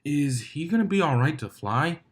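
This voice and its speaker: A man's voice: on the high side, animated, moderate pace, slightly rough, pitched above the performer's own voice. A man puts on a young-at-heart stallion athlete in a storybook reading. He is breezy and teasing, and talks like a casual joker. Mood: unsure.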